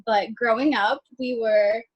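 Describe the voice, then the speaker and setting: A woman's voice: fairly high pitch, steady tone, measured pace, dull audio. A young woman from Ethiopia, chatting as a guest over a video call in a friendly, loose way with many fillers. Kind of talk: casual video call chat.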